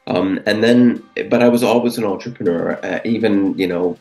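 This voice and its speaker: Deep-voiced Canadian man. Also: normal speed, vocal fry, darkish tone, faint music behind, poor audio. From Vancouver, Canada. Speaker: interview guest, entrepreneur. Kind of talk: conversational interview, telling career anecdotes.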